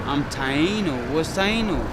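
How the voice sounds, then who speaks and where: A man's voice: on the high side, animated, slow. A man in a casual street exchange, speaking in short, repetitive, questioning phrases as a passerby outdoors. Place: outdoors in a city square. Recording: noisy outdoor street recording with traffic.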